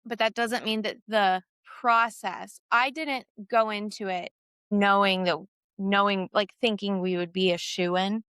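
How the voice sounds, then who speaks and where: Female musician, bright and smooth voice, dry close mic, relaxed chatty delivery. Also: mid-range voice, measured pace, no echo. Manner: laughs easily, trailing sentences. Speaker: musician guest. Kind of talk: casual conversation.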